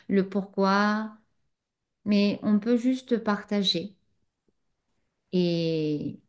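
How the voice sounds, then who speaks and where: A woman spiritual teacher, whose first language is not French, answers a student in a satsang, reflective and pausing often with fillers. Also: medium pitch.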